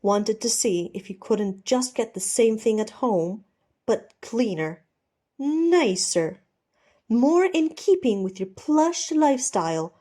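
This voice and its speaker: A woman's voice: fairly high pitch, expressive, takes it slowly, mellow. A woman voicing a fierce, wounded male pirate captain with a bitter, taunting manner in a storybook reading.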